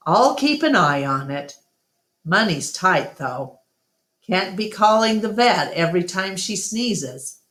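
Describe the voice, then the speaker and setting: A woman's voice: medium pitch, very animated, slow pace, a bit bright, roomy sound, voice pushed up. A woman voicing a blunt, tight-fisted man, a grumbling boss in a storybook. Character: gruff, tight-fisted male circus boss.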